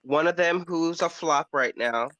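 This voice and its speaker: A man's voice: on the high side, a little slow, sharp tone, dry recording, low-quality recording. A man bantering casually as a panelist in a group discussion.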